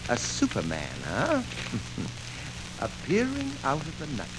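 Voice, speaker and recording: An actor playing a smug, calculating gang boss in 1940s radio style, deliberate and commanding, with mocking amusement. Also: male voice, fairly high pitch, huge pitch swings. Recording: noisy, crackly old radio show record.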